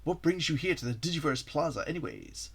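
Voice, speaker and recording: A man's voice: on the high side, huge pitch swings, brisk, vocal fry, fairly bright tone, breathy edge, exaggerated voice. A man voicing a curious, polite male echidna journalist while reading fan fiction aloud. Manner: polite, inquisitive.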